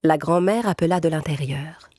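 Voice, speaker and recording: A woman's voice: low voice, moderate pace, a little mellow. A woman narrator reading a scripted fairy tale for small children in a storytelling style. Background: music.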